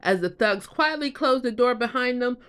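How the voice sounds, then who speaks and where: A woman reading a children's bedtime story aloud as an expressive storyteller. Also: on the high side, normal speed, clear, resonant tone.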